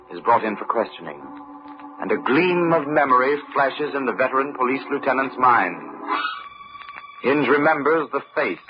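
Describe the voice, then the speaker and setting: A man's voice: medium pitch, dull audio, noisy background. A man giving scripted dramatic narration as the storyteller and announcer of an old-time radio mystery. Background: music.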